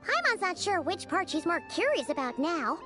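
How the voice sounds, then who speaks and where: A tiny childlike companion from a video game. The voice is extremely high and squeaky, with very animated swings in pitch. The manner is curious, chatty and inquisitive, over background music. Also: female voice, normal speed. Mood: frank, explaining. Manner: inquisitive, refers to self in third person. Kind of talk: video game cutscene acting.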